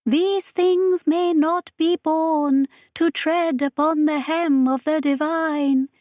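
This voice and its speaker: Casting: woman to play solemn alien hive majordomo, raised pitch, slow, archaic and reverent, science fiction audiobook. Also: steady tone, a little mellow, dry recording, clean studio sound.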